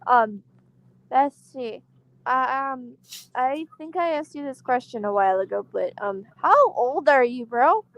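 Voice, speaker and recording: A woman's voice: sits high, lively intonation, measured pace, no echo, highs cut off. A twenty-year-old woman chatting casually and profanely, tired after work, calling friends bestie. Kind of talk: casual stream chat.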